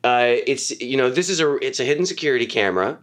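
An actor playing an eccentric, defensive inventor with half-baked ideas, stammering and repeating himself in naturalistic podcast comedy. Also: male voice, medium pitch, expressive, fast, fairly bright tone, natural voice. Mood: eager pitching. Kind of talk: naturalistic improvised-sounding comedy podcast acting.

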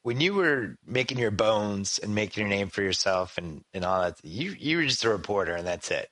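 A man's voice: on the low side, expressive, fairly bright tone. A man hosting a podcast interview who chats loosely, leaning on fillers like "like". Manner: chatty, fast and loose with fillers like "like". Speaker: podcast host.

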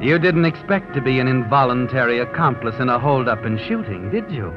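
Guy doing sinister old radio narrator, sardonic, very swoopy pitch, music behind, muffled sound. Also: animated, a little fast, lightly acted. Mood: taunting, sardonic. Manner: sardonic, insinuating, dramatic. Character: taunting, ominous all-knowing narrator addressing the character. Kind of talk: 1940s radio mystery narration. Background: dramatic music.

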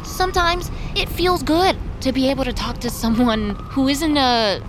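A woman's voice: high-pitched, normal speed, close to an everyday voice. An actress in an audio drama playing a guarded young female vampire, curt but earnest. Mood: defensive. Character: guarded young woman vampire longing to be normal. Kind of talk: naturalistic audio drama acting.

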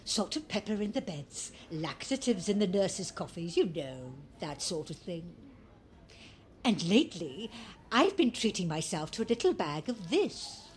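Swooping, defiant old British granny. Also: female voice, on the low side, big pitch swings, measured pace. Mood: gleeful. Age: older. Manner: blunt, sardonic, grand. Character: mischievous, imperious, defiant old grandmother in a care home. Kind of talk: audio drama acting.

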